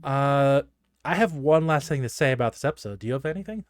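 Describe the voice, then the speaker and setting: A man talks casually as a podcast co-host, in a middle-pitched voice. His delivery is chatty and loose, with a giggly streak. The sound is dry, with very little room around it. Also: animated, quick pace, dry recording. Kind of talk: casual discussion of a horror anime episode.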